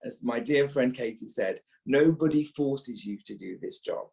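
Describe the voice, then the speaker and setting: A man's voice: mid-range voice, lively intonation, a little slow, creaky, mellow. A man in his fifties speaking as an actor being interviewed, reflective, stressing points by repeating phrases. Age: 50s.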